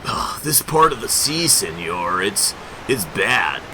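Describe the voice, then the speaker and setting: A man voicing an ominous, halting local fisherman who warns of evil waters in a comic book dramatic reading. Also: on the low side, very animated, background noise. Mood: foreboding.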